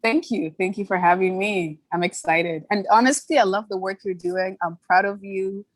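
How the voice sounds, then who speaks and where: A woman's voice: medium pitch, no room sound. A young woman born in Ghana and raised in Toronto, a podcast guest telling her story with earnest candor and easy laughs. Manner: earnest, candid, quick to laugh. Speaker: podcast guest, brand founder. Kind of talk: casual interview storytelling.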